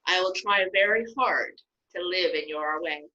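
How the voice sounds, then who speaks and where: A woman's voice: fairly low pitch, a little slow, sharp tone, lo-fi sound, a little hiss or hum. A woman voicing the cranky, complaining people of Israel in a children's Bible story. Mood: eager. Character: the people, cranky grumbling crowd.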